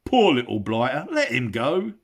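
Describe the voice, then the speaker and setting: William, a man doing a loud coarse troll. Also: medium pitch, wildly swooping pitch, normal speed, pitched above the performer's own voice, exaggerated voice. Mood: gruff. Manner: loud, gruff, slangy. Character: big coarse male troll, drunk and soft-hearted. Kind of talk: audiobook character voice.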